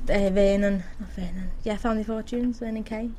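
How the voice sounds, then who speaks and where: A woman's voice: mid-range voice, fairly even intonation, brisk, dark tone. A young woman radio presenter talking casually, in a giggly, chatty way. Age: young adult. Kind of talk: casual chat. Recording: clear background noise, room ambience.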